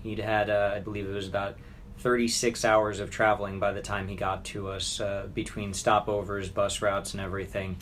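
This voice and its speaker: Guy cohosting a chat, kinda low voice, feels for his words, faint rumble behind. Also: flat delivery, normal speed, a bit bright. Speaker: podcast co-host, charity staff. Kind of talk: casual conversational recap.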